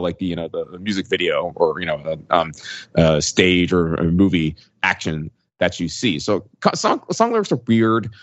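Low-voiced man, informal, dull dry recording. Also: no echo. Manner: informal, conversational, opinionated. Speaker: podcast panelist. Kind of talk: casual chat.

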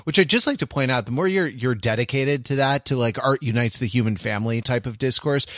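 A man in a casual analytical podcast discussion, talking in a halting, rambling way as he thinks aloud. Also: mid-range voice, a bit bright, dry recording, studio quality. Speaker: podcast panelist.

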